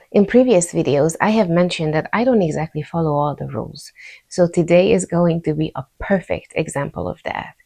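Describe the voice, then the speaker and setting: A woman's voice: fairly low pitch, gravelly, a little mellow. A woman with a Hungarian accent narrating a step-by-step craft tutorial in an easygoing, informal way. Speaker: host of a crochet tutorial channel.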